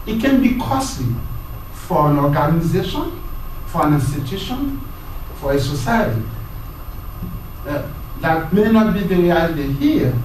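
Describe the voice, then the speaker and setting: Man lecturing, high-pitched, halting, repeats words, very echoey room. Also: lively intonation, darkish tone, slight background noise. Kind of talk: university lecture. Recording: very echoey room, mic far off.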